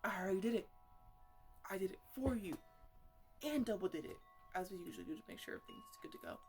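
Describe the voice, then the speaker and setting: A woman's voice: mid-range voice, lively intonation, quick pace, a little mellow, faint music behind, natural voice. A woman playing an eccentric, chatty botanist in an ASMR roleplay, rambling and stammering as she talks fondly and scoldingly to her plants. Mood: excited, teasing.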